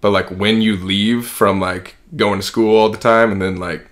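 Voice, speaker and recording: Low-pitched young American man, chatting casually. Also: fairly even intonation, normal speed, fairly bright tone. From Kansas, United States. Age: early 20s. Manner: rambling, informal, heavy use of "like". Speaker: podcast co-host. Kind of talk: casual reflective chat.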